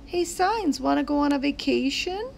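Woman voicing an excitable, squeaky little sign kid. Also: moderate pace, creaky, a little hiss or hum, heavily acted. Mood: eager. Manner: exclaiming, childlike, eager. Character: excitable little stick-figure sign kids, adventurous and plucky.

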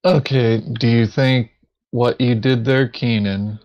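A man's voice: mid-range voice, fairly even intonation, slow pace, highs cut off. A man chatting casually during a board game in a hesitant, terse, dry manner. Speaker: board game player in a recorded play session. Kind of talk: casual gameplay chat.

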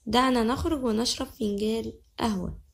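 A young woman with an Egyptian Arabic accent teaching vocabulary. She says each word slowly several times, then explains it quickly in Arabic. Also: fairly high pitch, creaky. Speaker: language teacher. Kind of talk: vocabulary lesson.

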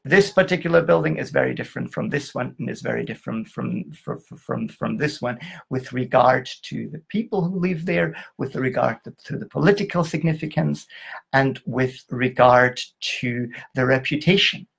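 Middle-aged guy from germany lecturing on a video call, gravelly and a bit husky, stops and restarts a lot. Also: medium pitch. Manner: halting, with restarts and fillers. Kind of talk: online lecture. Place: indoor room, video call.

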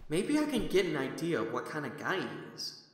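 A man's voice: fairly high pitch, huge pitch swings, brisk, noisy background. A man playing a curious, observant young human in an audio drama, talking in a casual, slightly hesitant way. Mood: musing.

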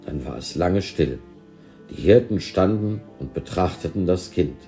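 Brief: male storybook narrator, dark mellow low voice, measured expressive reading, music behind. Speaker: volunteer reader of an audio story.

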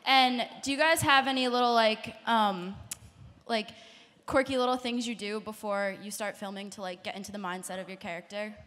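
A woman's voice: medium pitch, fairly even intonation, moderate pace, fairly bright tone, a little hiss or hum. Nervous, gushing woman fan at a mic. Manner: gushing, nervous, filler-heavy. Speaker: audience member asking a question. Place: large auditorium. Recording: crowd in the background, hall reverb.

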